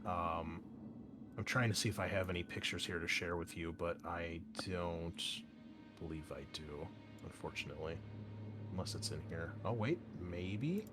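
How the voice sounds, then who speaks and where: Male game master, lowish voice, conversational scene-setting for a tabletop game, faint music underneath. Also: normal speed. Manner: conversational, explanatory, improvised. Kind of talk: narrating a tabletop roleplaying game scenario. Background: background music.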